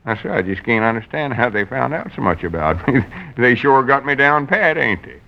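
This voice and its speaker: An actor playing an excitable, gullible, vain country man with a folksy rural Southern drawl in old radio comedy. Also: mid-range voice, gravelly. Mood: self-satisfied. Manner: folksy drawl, halting when reading aloud. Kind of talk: 1940s radio comedy acting. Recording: muffled vintage radio recording.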